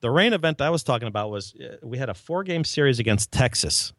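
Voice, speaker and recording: A man's voice: mid-range voice, animated, quick pace, a bit bright, no room sound, studio quality. A middle-aged man hosting a casual chat, telling long rambling stories about his old grounds crew. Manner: rambling, many restarts. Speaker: podcast host, former head groundskeeper.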